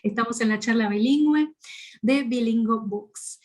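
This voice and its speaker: A woman's voice: fairly high pitch, moderate pace, a little mellow. Woman presenter giving a formal welcome. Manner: polite, formal, with hesitant fillers. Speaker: event presenter.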